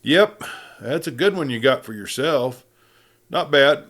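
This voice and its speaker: A man voicing a gruff, dry-spoken Old West sheriff with a growl and blunt cowboy talk. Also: mid-range voice, lively intonation, a little slow, a bit bright, voice pushed up. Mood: easing, companionable.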